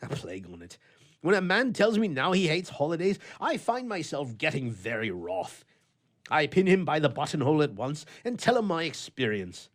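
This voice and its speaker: A man playing a hearty old-fashioned bachelor gentleman recounting a story theatrically for a radio play. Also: a little high, wildly swooping pitch, moderate pace. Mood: indignant, hearty. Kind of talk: dramatic reading for radio theatre.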